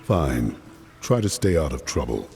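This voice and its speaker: A man's voice: very deep voice, sing-song, measured pace, darkish tone, slight background noise, full character voice. An actor playing a stern, blunt male warrior hero in a video game. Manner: blunt, curt.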